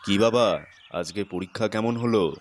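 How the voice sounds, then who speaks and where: A man's voice: fairly low pitch, normal speed, a little hiss or hum, close to an everyday voice. A man playing a stern but caring middle-aged father in an audio story drama. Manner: scolding, admonishing.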